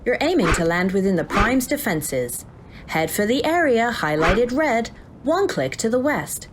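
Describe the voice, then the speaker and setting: An actress playing a calm, detached female game AI, giving clipped instructions and warnings in a video game parody drama. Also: on the high side, unhurried, noisy background. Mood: calm, instructive. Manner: flat, clipped announcements and instructions.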